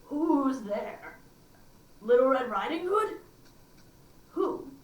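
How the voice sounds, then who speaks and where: A woman's voice: sits high, expressive, drawn-out words, heavily acted. A wicked storybook wolf played by a woman reader coaxes and schemes, pretending to be a sweet old granny. The delivery is sly and hungry, heard at some distance in a roomy, echoing space. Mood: suspicious, questioning. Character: wicked hungry male wolf posing as a kindly grandmother.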